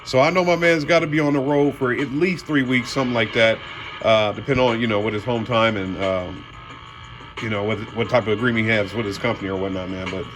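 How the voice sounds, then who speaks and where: A man's voice: medium pitch, fairly even intonation, fast, over music. A man hosting a car audio vlog, talking casually and colloquially, addressing viewers as y'all and man. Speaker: car audio channel host. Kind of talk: casual product unboxing talk.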